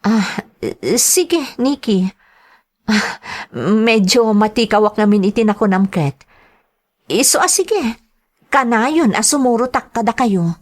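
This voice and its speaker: A woman's voice: fairly high pitch, expressive, slow, pauses often. A woman with an Ilocano accent playing a hesitant, puzzled caregiver in a radio drama. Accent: Filipino, Ilocano.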